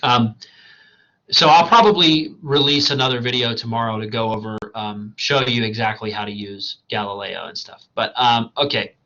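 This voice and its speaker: A man teaching a college writing class in a recorded slideshow lecture, talking in a loose, conversational way, tagging "right?" onto his points, his voice going hoarse. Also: mid-range voice, moderate pace, pushed and bright, dull audio. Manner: conversational and digressive, asks "right?" often. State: losing his voice.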